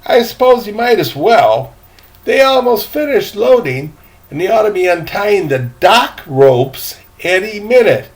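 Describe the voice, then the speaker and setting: A man's voice: high voice, very animated, a little slow, pitched above the performer's own voice. An older man voicing a frank, wry young boy in a storybook, plain-spoken and eager. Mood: matter-of-fact.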